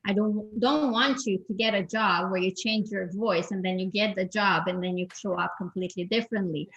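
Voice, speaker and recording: A woman's voice: medium pitch, steady tone, moderate pace, fairly bright tone. Strong-voiced European woman on a lo-fi call. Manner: strong voice, many restarts and repeated words. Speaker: guest expert. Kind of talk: advice in a panel talk.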